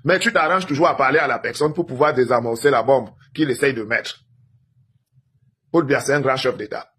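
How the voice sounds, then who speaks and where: Husky Cameroonian man lecturing viewers. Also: medium pitch, a little fast, fairly bright tone. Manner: emphatic, lecturing, rhetorical questions to viewers. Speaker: political commentator. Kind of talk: direct-to-camera political monologue.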